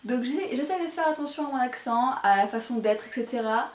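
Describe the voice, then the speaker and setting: A woman's voice: high voice, expressive, brisk. A woman presenting a casual, chatty hair tutorial to camera, speaking a little carefully and self-consciously. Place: indoor room at home. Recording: roomy echo, faint background noise.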